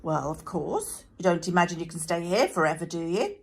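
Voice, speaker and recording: A man's voice: a little high, expressive, fairly quick, creaky, a little hiss or hum. A woman voicing an exasperated, protective father who scolds and blusters in a storybook reading. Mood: regretful scolding.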